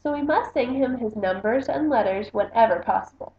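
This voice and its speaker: A woman's voice: a little high, a little fast, echoey room, lo-fi sound, a little hiss or hum, close to an everyday voice. A woman reading aloud as a doting, patient mouse parent in a fond, singsong manner. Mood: earnest.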